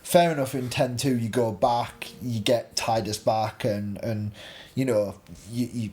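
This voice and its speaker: A man's voice: mid-range voice, steady tone, measured pace, a little mellow. A man co-hosting a casual panel discussion, exasperated and given to repeating himself. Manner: exasperated, repetitive. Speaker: podcast co-host.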